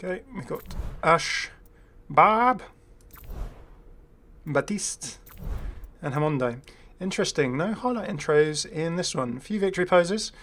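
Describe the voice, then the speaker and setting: A man's voice: on the high side, animated, a little slow, a bit bright. A man giving casual, enthusiastic commentary on a game update in a chatty way. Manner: chatty, enthusiastic, readily amused. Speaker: YouTube gaming creator.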